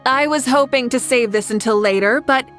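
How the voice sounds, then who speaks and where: A woman playing a warm, confident warrior leader in an anime game, speaking in a composed, encouraging, slightly ceremonial way. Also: high-pitched, moderate pace. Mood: gentle, amused. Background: background music.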